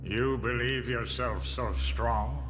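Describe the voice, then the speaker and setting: An actor playing a grave, formal, reverent dignitary in a game cutscene, with music behind him. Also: male voice, medium pitch, slow, lightly acted. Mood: challenging. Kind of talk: video game cutscene acting.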